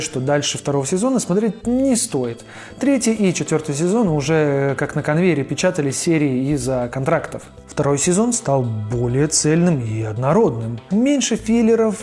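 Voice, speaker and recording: A man narrates a cartoon review in a casual, chatty way, slipping into slang and frank opinions. His voice sits a little high for a man. Soft background music runs quietly beneath his voice-over. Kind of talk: casual video-essay narration.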